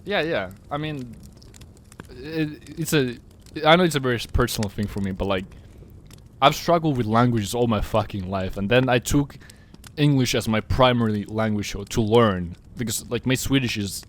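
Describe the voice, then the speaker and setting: A man's voice: medium pitch, moderate pace. Finnish man, rambling casual chat. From Finland. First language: Russian and Finnish. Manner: rambling, repeats words, swears often. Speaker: podcast co-host.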